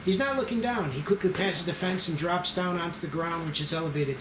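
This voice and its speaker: A man's voice: high-pitched, a little fast. A man narrating scene directions aloud at an amateur screenplay table read. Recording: roomy echo with clear noise.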